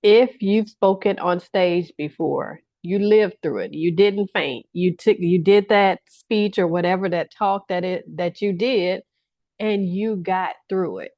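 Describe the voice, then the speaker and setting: A woman's voice: fairly low pitch, a little slow, no echo. A middle-aged woman business coach giving a workshop-style talk to viewers, encouraging and repeating phrases for emphasis. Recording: dull lo-fi, dry sound.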